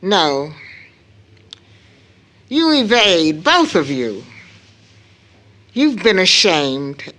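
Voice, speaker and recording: An actress playing a grave, anguished middle-aged mother in an audio drama, speaking in a solemn, deliberate and emphatic way. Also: medium pitch, fairly bright tone. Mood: hurt, accusing. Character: grave, anguished mother baring a long-kept family secret. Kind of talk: stage audio drama acting.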